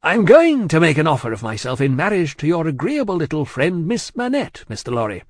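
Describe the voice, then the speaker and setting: Man putting on a loud blustering businessman, swooping pitch. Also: huge pitch swings, a little fast, slightly rough, no echo, clean studio sound, full character voice. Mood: pompous. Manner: booming, pompous, forceful exclamations. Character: big blustering pushy businessman, loud and self-important. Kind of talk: acted audiobook dialogue from a classic novel.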